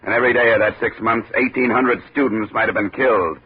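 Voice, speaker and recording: An actor playing a sardonic, wisecracking insurance investigator who also narrates a 1950s radio detective drama in a tough, blunt style. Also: male voice, on the low side, fairly even intonation, quick pace, slightly rough. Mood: scornful, tough. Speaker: lead and narrator of detective series. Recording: noisy narrow-band old radio broadcast.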